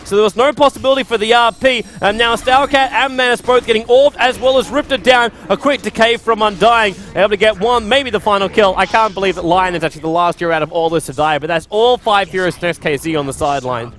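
A young man calling esports play-by-play in a rapid, excitable way. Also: high-pitched.

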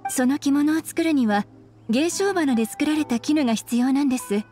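A woman's voice: high-pitched, normal speed, dark tone, close to an everyday voice. A woman voicing a polite, welcoming young female shopkeeper in scripted game dialogue. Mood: apologetic, explaining. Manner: polite customer-service speech. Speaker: game voice actor. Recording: studio voice over game music.

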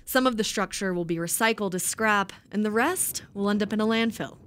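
Woman news reporter, scripted voice-over, measured and even. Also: medium pitch, slight background noise.

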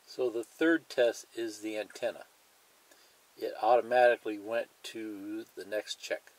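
A man's voice: lowish voice, fairly even intonation, unhurried, poor audio. A man presenting a hands-on tutorial in a plain, methodical way, explaining each step and repeating it.

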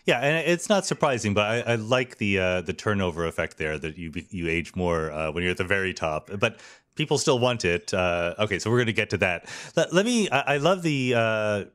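Male host, low voice, thoughtful and hesitant, conversational interviewer. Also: very animated, brisk, a bit bright. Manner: thoughtful questioning with hesitant fillers. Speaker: podcast host.

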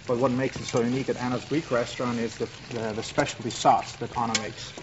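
A man's voice: medium pitch, quick pace. Earnest man promoting his restaurant, muffled. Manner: earnest, promotional, loose with grammar. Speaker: restaurant owner. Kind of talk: TV interview about his restaurant. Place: TV studio set. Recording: muffled broadcast audio with steady hiss.

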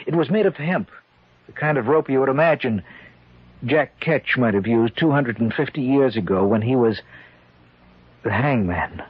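An actor playing an uneasy, haunted man in a 1940s radio horror drama, with halting, dramatic delivery. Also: mid-range voice, lively intonation, slightly rough. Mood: haunted, brooding. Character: uneasy, haunted man recounting an eerie night. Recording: noisy, dull 1940s radio recording.

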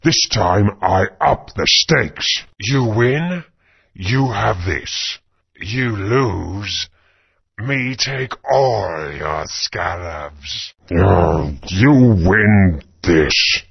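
A gravelly, greedy dinosaur brute talks in clipped, broken sentences. His low, husky voice drawls slowly and swings widely in pitch. The sound is dull, lo-fi game audio. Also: lively intonation, full character voice. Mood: gruff greedy. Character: greedy male SharpClaw dino guard, broken speech.

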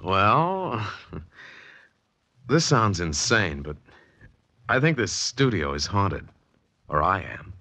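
A man's voice: deep voice, sing-song, extremely slow, long pauses, sharp tone, full character voice. An actor playing a troubled, earnest artist in his thirties in a 1940s radio drama. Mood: uneasy. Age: 30s. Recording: dull vintage radio recording with noise.

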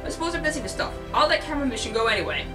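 A woman's voice: a little high, very animated, racing, distant mic, over music. A woman voicing a sheepish, apologetic big man in a story reading. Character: dim, guilty, apologetic long-haired big man.

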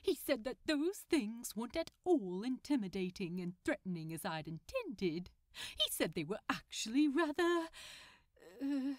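A woman's voice: on the high side, sing-song, a little slow, dry recording, studio quality. A woman voicing a prim, wistful, lovesick male supervillain in a storybook reading, theatrical and earnest. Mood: earnest, aggrieved. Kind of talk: acted fan fiction audiobook reading.

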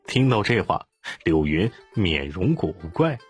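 Deep, animated male audiobook narrator. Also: measured pace, husky, soft background music. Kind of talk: scripted story narration.

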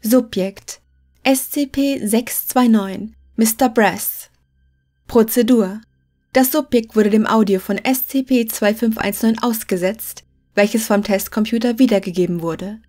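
A woman's voice: on the high side, unhurried, dark tone. A woman reading scripted clinical logs as a narrator, formal and matter-of-fact.

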